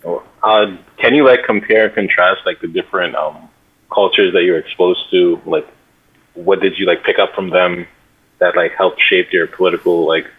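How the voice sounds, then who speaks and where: A man's voice: low voice, normal speed, breathy edge. A man hosting a podcast, asking questions in a hesitant way with many fillers. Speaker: podcast host. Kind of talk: casual podcast interview. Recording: tinny phone-like line.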